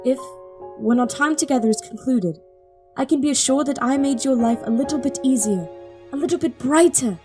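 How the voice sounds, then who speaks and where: A woman's voice: high-pitched, moderate pace, over music, lightly acted. A woman playing an earnest, devoted young princess in an audio drama, speaking articulately. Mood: earnest, pleading. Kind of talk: audio drama acting with first-person narration.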